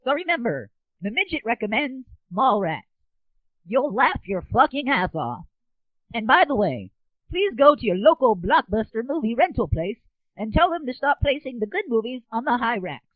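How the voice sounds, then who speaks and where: Squeaky, brash little midget movie reviewer. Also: male voice, very animated, moderate pace, no room sound. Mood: brash, enthusiastic. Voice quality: squeaky, strained throat voice. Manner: crude, slangy, exclaiming. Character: brash foul-mouthed midget movie reviewer, small and excitable. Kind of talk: comic scripted movie review. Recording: dull lo-fi 1990s internet audio.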